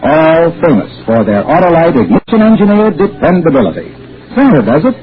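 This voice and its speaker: A man announces in punchy vintage radio style, selling with theatrical flair. His fairly high voice swoops energetically. The recording is tinny and noisy, like an old broadcast. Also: very animated, a little fast. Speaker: radio announcer and sponsor pitchman. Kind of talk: 1940s radio advertising announcement.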